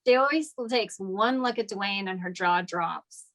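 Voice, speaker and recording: A woman's voice: mid-range voice, takes it slowly, a bit bright. A woman narrator reading scripted stage directions at a screenplay table read.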